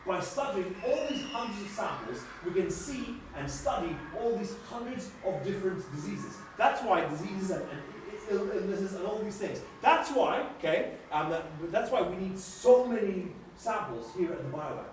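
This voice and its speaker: A man's voice: sits high, lively intonation, moderate pace, hardly any pauses. A man playing a kindly, flustered scientist doctor who explains things emphatically and repetitively, with stammers, in a live children's puppet show. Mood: earnest, flustered. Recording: echoey room, distant mic, faint noise.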